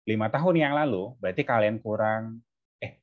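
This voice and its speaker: A man's voice: fairly low pitch, moderate pace, phone-quality sound. A man teaching step by step as a tutor, informally, often checking that students follow. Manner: frequent checking questions to students. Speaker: tutor teaching exam maths.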